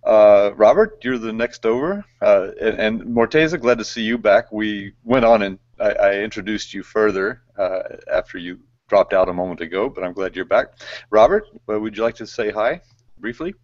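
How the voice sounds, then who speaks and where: A man hosting a video chat, calling on people in a relaxed, gently directing way. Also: on the low side, normal speed. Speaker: chat host. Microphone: video call. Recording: dull lo-fi call audio.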